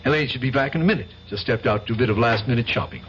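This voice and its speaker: An actor playing a breezy, condescending gentleman with brisk, clubby manners in a radio drama. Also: medium pitch, natural voice. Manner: brisk, clubby, repeats greetings. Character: breezy, dapper, condescending brother of a lady traveller. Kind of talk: 1970s radio drama acting. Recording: dull, faintly noisy vintage radio recording.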